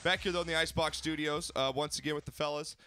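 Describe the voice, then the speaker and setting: A man in his thirties hosting a sports talk show in a small home studio, loud and excitable, a bit stuffed up from a cold. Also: a little high, quick pace, faint music behind. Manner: loud, excitable, stretches words. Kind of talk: loud casual sports radio banter. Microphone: studio mic on boom arm, headphones.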